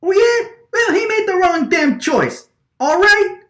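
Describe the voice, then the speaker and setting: A woman plays a brash vacuum-faced wiseguy from a radio drama, pitched high and swinging wildly. He is agitated and indignant, stammering and hammering on his phrases. The recording sounds like an echoey room. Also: very animated, a little slow, a bit bright, exaggerated voice. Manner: agitated, stammering, repeating lines emphatically. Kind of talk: radio drama line takes, gangster-movie wiseguy delivery.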